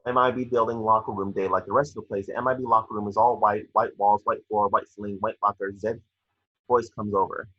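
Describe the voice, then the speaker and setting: A man's voice: lowish voice, little pitch movement, fairly quick, breathy edge. A man narrating scripted action descriptions at an amateur table read, on a slightly dull home recording. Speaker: reader of stage directions.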